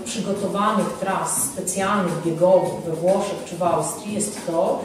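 A woman's voice: on the low side, fairly even intonation, unhurried. A woman in her thirties, an instructor giving emphatic, explanatory interview answers, repeating points and adding short tag questions. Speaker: ski and Nordic walking instructor, interview guest. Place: indoor ski-shop cafe. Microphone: handheld microphone with foam cover. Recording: echoey room, distant sound, music behind.

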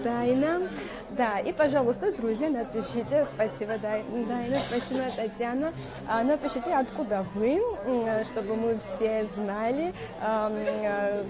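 Young woman coach, halting accented Russian. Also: a little high. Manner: halting, with many fillers and restarts, greeting viewers by name; speaks Russian as a second language with a noticeable accent. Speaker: inner strength coach hosting a live stream. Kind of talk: live-stream talk to viewers. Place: small room indoors. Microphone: wired earphone mic. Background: music playing.

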